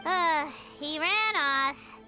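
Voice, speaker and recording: A woman voicing a tiny, childlike female fairy companion, excitable and feisty, in a cartoonish game style. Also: extremely slow. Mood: excited. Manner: squeaky, indignant, excitable. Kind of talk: cartoonish video game voice acting. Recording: game music behind the voice.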